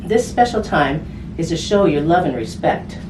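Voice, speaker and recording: A woman's voice: sits low, lively intonation, measured pace. A woman reading a picture book aloud to children, addressing them directly and explaining things simply. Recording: distant mic, roomy echo, clear background noise.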